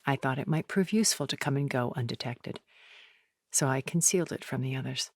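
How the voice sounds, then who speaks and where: An actress playing a refined, cultured, coolly composed older woman in a video game, speaking in a poised, deliberate, articulate way. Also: a bass voice. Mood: businesslike. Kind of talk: scripted video game dialogue, art commentary.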